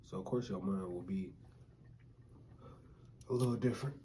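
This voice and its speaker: A man chatting casually with his wife, laying out his view in a relaxed, reasoning way. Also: low-pitched, normal speed, a little hiss or hum. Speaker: co-host, husband. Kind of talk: casual chat.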